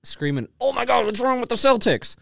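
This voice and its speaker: A man's voice: extremely high pitch, sing-song, a little fast, creaky, dry recording, clean studio sound. A man co-hosting a sports podcast, casually debating and pressing his points insistently.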